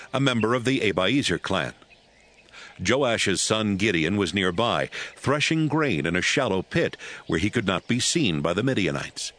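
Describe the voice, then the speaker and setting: Low-voiced male Bible narrator. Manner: steady, measured storytelling. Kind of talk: scripted narration.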